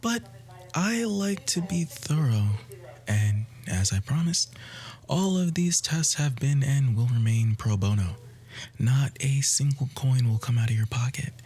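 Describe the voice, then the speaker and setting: A man playing an outwardly kind, quietly manipulative doctor in an ASMR roleplay, in a breathy voice that often drops to a whisper. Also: medium pitch, expressive, unhurried. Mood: soothing, pleased. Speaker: audio roleplay voice actor. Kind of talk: ASMR roleplay, soft intimate acting.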